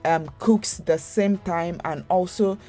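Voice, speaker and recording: A woman's voice: fairly low pitch, takes it slowly, darkish tone, faint music behind. A young woman hosting a home cooking channel, talking viewers through a recipe in a chatty, rambling way with lots of fillers and restarts. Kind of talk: casual recipe voice-over.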